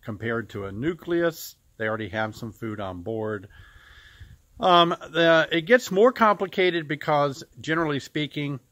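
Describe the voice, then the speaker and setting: A middle-aged American man from Illinois giving a casual beekeeping lesson outdoors to a close camera, relaxed and explanatory with frequent pauses. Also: fairly high pitch, no echo. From United States. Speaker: beekeeping teacher. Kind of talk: casual instructional talk to camera. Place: outdoors beside a farm building. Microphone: camera at close range.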